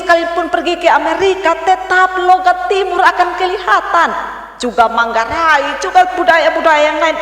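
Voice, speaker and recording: High-voiced woman preaching in an echoey church. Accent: Kupang Indonesian. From Rote, East Nusa Tenggara, Indonesia. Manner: conversational preaching with sudden drops and rises in pitch and loudness. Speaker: pastor. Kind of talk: sermon. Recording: echoey church hall, mic far off.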